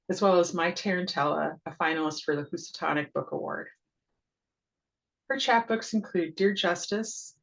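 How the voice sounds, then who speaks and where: A woman's voice: deep voice, creaky, low-quality recording. A woman hosting a poetry reading over a video call, welcoming the audience and introducing the featured poet, with frequent ums. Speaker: host and town poet laureate.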